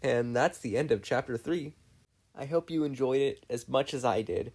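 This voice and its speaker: A podfic narrator reading fiction aloud in an animated, scripted storytelling style. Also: medium pitch, moderate pace.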